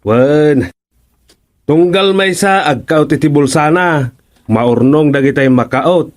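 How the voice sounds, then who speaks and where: A man playing a cheerful, unhurried villager in an Ilocano radio drama-comedy. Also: on the high side.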